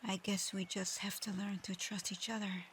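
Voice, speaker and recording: A woman voicing a proud but easily flustered dragon girl in human form for an ASMR roleplay, speaking formally with halting stammers. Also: medium pitch, little pitch movement, a bit bright, a little hiss or hum, natural voice. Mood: softened, earnest. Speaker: audio roleplay performer.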